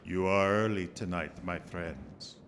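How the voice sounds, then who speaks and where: A man playing a sinister, courtly vampire count in a gothic radio drama, slow, deliberate and formal. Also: low voice, background noise. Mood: sinister courtesy. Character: sinister, courtly Count Dracula, posing also as coachman.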